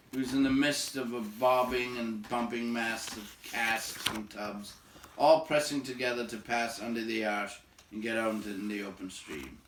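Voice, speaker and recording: An echoey room surrounds a man narrating a storybook aloud. His voice is mid-pitched, and he phrases the prose expressively, pausing between clauses. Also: a bit bright, slight background noise. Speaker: audiobook-style reader.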